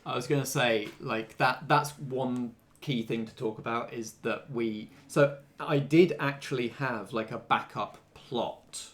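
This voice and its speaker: A man's voice: mid-range voice, unhurried, hardly any pauses, fairly bright tone. A man hosting a podcast as game master, chatting casually about a game. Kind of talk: casual backstage discussion.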